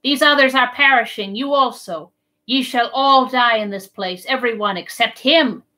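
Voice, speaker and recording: A woman's voice: a little high, moderate pace, bright, forceful tone, low-quality recording. A woman voicing a malicious old male wizard disguised as a peasant hag, making gloating, archaic pronouncements.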